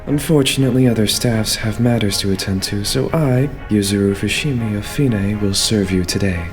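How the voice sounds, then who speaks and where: A man playing a young idol butler in an anime-style fan dub, formal and courteous with a quietly condescending edge. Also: on the low side, faint music behind, lightly acted. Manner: formal, courteous, measured, servile phrasing.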